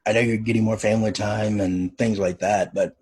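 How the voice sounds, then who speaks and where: A man's voice: lowish voice, steady tone, a little fast, darkish tone, breathy edge. A man hosting a podcast and interviewing in a casual, conversational style.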